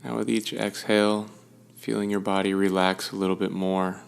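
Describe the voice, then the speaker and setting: Low, gravelly man guiding meditation. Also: little pitch movement, moderate pace. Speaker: meditation guide. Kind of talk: guided meditation, gentle instruction.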